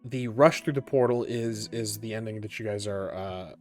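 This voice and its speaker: A man speaking as the game master and host of a tabletop roleplaying session, choosing his phrases in a measured way. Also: on the low side, faint music behind.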